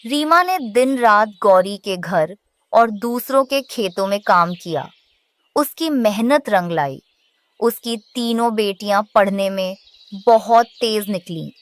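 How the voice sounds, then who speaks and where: A woman's voice: mid-range voice, lively intonation, slow. A woman narrating a scripted children's story.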